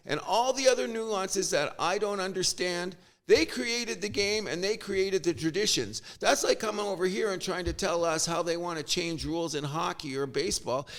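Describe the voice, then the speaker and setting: Middle-aged canadian guy ranting about sports, kinda high gravelly voice, gets worked up. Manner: animated, exasperated, swears casually. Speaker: sports podcast host. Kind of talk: opinionated sports rant.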